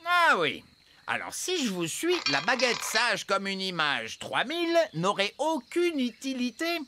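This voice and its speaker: A fussy cartoon know-it-all with very dramatic swings in pitch. The delivery is formal and sure of itself, breaking into exclamations. There is clear noise behind it. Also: female voice, huge pitch swings, measured pace. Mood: self-assured, explanatory. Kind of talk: animated cartoon voice acting.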